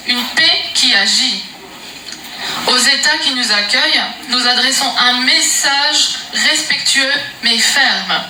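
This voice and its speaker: A woman opening a press conference with a solemn, emphatic political declaration. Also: high voice. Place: meeting room in Brussels. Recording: echoey room from far off, noisy.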